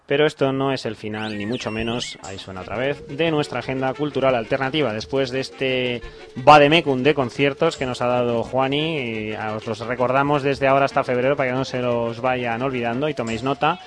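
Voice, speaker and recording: A man's voice: mid-range voice, fairly even intonation, fairly quick, soft background music. A man with an accent from Spain hosting an informal radio cultural agenda, hesitating and adding colloquial asides. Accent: Spanish (Spain). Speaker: radio host.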